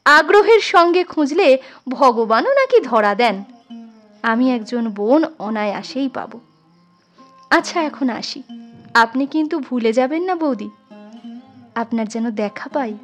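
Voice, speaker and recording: A woman's voice: high voice, lively intonation, measured pace, long pauses. A woman voicing a polite, bashful, affectionate young schoolteacher in a storybook reading. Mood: earnest, hopeful. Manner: polite, eager, slightly shy. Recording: music under the voice.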